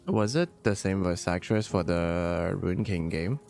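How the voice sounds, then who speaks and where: Deep-voiced man, casual teasing chat. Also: lively intonation, unhurried, darkish tone, faint music behind. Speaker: podcast panelist. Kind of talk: casual chat, brief interjections.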